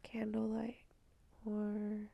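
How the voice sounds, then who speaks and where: A woman guides a channeled meditation in a soft, half-whispered voice. She speaks very slowly and evenly, with a mellow, gravelly tone. Sighs and long pauses fill the gaps between her phrases. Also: mid-range voice, completely flat delivery, dry recording, dull audio. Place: indoors at night.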